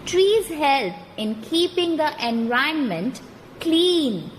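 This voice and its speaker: Woman as high, lively Indian mother puppet. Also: takes it slowly, heavily acted. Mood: earnest. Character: caring mother puppet, patient and instructive. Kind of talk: scripted children's puppet show. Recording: reverberant hall, background noise.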